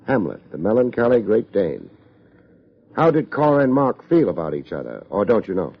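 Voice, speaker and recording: An actor playing a tough, wry American private investigator in a 1950s radio drama, terse, slangy and deadpan. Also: male voice, fairly low pitch, normal speed, gravelly, breathy edge, full character voice. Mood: brisk questioning. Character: tough, wry male private investigator narrating his case. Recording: dull old radio recording, music behind.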